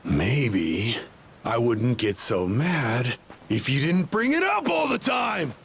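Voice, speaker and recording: A man's voice: mid-range voice, sing-song, takes it slowly, vocal fry, a little hiss or hum, full character voice. An actor playing a bitter, protective, hot-tempered middle-aged father in a video game. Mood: angry.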